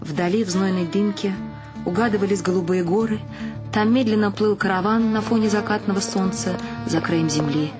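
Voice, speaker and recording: A woman's voice: medium pitch, moderate pace, close to an everyday voice. An actress playing a woman who narrates her bohemian family's tragicomic life in an audio play. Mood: storytelling. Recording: music under the voice. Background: music.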